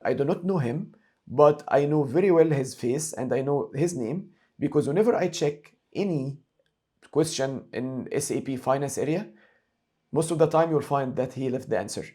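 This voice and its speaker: A man narrating a screen-share software tutorial as an instructor, explaining each step methodically and repeating key points. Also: on the high side.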